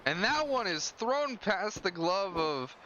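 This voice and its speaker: A man's voice: high voice, sing-song, slow pace. A man doing live play-by-play baseball commentary in clipped calls with casual asides. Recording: dull lo-fi audio with loud background noise.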